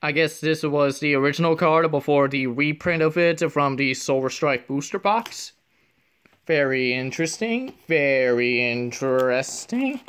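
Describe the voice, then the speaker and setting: Soft-spoken man calmly opening card packs. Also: fairly high pitch, measured pace, clear, resonant tone. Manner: speaks softly, half whispering, reads card names aloud. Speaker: card unboxing youtuber. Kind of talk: casual card pack opening commentary. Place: indoors at a table, hands only on camera.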